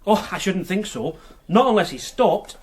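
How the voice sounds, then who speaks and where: Police constable, radio drama, matter-of-fact, respectful, clipped, high raspy voice. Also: male voice, lively intonation, moderate pace. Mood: informative. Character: eager, matter-of-fact male police constable reporting to superiors.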